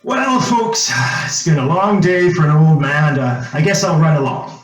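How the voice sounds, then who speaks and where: A man acts out a smooth-talking, avuncular old man in an old-time radio drama. His voice is a little high and comes from off-mic in an echoey room, over faint music. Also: a little slow, far from the mic, lightly acted. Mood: genial. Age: older.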